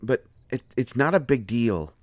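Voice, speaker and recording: Guy acting hurt bookish man in audio roleplay, kinda cranky but gentle, slow n halting, really dry close recording. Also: medium pitch, lively intonation, no room sound, lightly acted. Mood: protesting, irritated. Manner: halting, pained, fussy wordy phrasing.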